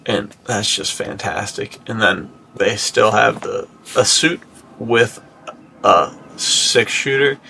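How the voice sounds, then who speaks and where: Heavily creaky man chatting over background noise. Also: lowish voice, takes it slowly, pushed and bright. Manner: slangy, bro-like phrasing. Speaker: podcast co-host. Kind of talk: casual podcast chat.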